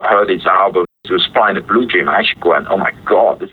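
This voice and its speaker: A man's voice: low voice, quick pace, slightly rough. A man from Hong Kong whose first language is Cantonese, a guitarist chatting casually as a guest in a phone interview, heard over a phone line. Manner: relaxed, chatty, laughs easily. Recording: phone-line call audio.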